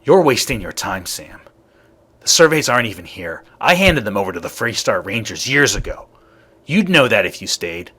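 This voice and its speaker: An actor playing a gruff, proud, bitter old grandfather in a video game, curt and scornful, with a gravelly, husky voice. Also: lowish voice, very animated, moderate pace, bright, forceful tone, dry recording, exaggerated voice. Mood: angry, reproachful. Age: older. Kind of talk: video game character dialogue.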